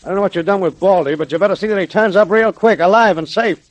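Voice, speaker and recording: A man's voice: high-pitched, fast, dry recording, close to an everyday voice. An actor playing a bullying, blustering gang boss with slangy tough-guy talk in a 1930s radio drama. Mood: menacing. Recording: vintage 1930s radio recording.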